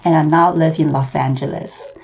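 A woman from Hong Kong speaks in a low, mellow voice with a gravelly edge. She answers interview questions reflectively, at an even, thoughtful pace. The remote recording sounds dull and lo-fi. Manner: measured, reflective storytelling. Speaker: author and seminary professor.

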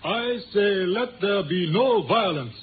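An actor playing a grave older Chinese tong leader in a 1950s radio drama, speaking in formal, measured phrases. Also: male voice, high voice, lively intonation, faint music behind. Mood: solemn, commanding. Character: solemn Chinese elder, restaurateur and tong leader. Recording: muffled, noisy 1950s radio recording.

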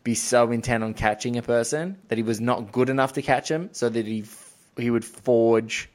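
A man's voice: medium pitch, steady tone, normal speed. A man hosting a podcast, chatting about film in a rambling, effusive way. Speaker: podcast host. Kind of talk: enthusiastic film discussion, casual chat.

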